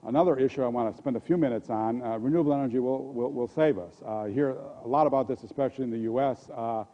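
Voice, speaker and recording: An older man with an American accent gives a conference keynote at a podium microphone, speaking haltingly and often repeating words and restarting. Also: medium pitch. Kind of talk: conference presentation. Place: conference hall stage at a podium. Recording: dull, muffled lo-fi audio.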